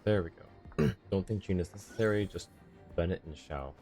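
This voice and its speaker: A man's voice: deep voice, moderate pace, dark tone. A man streaming a video game, chatting casually and muttering reactions as he plays. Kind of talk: casual live gameplay commentary. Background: game music.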